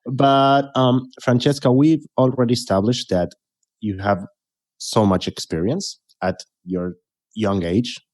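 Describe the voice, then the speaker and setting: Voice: medium pitch, fairly even intonation, unhurried, a little mellow, no echo. A co-host of a video podcast asking the guest for advice, phrasing questions carefully with hesitations. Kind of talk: casual interview conversation.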